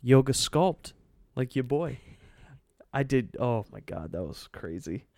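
Gravelly young man with a Michigan accent. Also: mid-range voice, very animated, measured pace, dark tone, no echo. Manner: laid-back, joking, laughs along. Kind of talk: casual chat.

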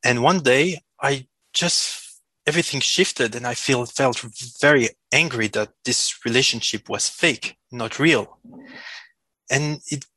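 A man's voice: mid-range voice, a little slow, pushed and bright, breathy edge, no room sound. A native French-speaking man from Switzerland with a Swiss French accent and a slight cold, talking hesitantly as an interview guest. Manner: hesitant, self-correcting. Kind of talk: halting conversational interview talk.

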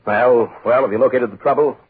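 An actor playing a bullying, bad-tempered middle-aged publisher, barking imperiously in 1940s radio style. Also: male voice, medium pitch, steady tone, a little fast, slightly rough. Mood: irate. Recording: scratchy, noisy narrowband 1940s radio recording.

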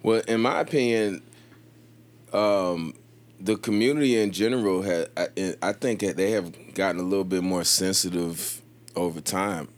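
A man in his thirties, a podcast guest, telling a story in casual conversation in a thoughtful, measured way. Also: sits low. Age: 30s.

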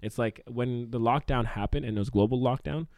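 A young man from Toronto talks fast in a casual podcast chat, bluntly and with plenty of swearing. His voice sits at a middling pitch, and the sound is dry and close to the mic. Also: a little mellow, no echo. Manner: blunt, swears freely, asks probing questions.

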